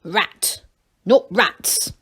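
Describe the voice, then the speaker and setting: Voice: very slow, a bit bright. A woman voicing a self-satisfied old gnome granny, scolding and commanding in a no-nonsense way.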